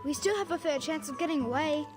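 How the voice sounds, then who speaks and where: A woman's voice: high voice, fast talker, close to an everyday voice. A woman voicing a talkative, street-smart kid in a game, with a brash, mocking manner. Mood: sly. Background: game music.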